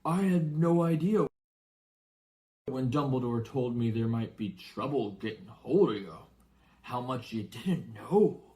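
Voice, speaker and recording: A man voicing a big, gruff, excitable but kindly giant with a rustic, blustering way of talking, in a storybook reading. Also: medium pitch, unhurried, natural voice. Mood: anxious, hesitant. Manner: rustic, blustering exclamations and hesitant asides.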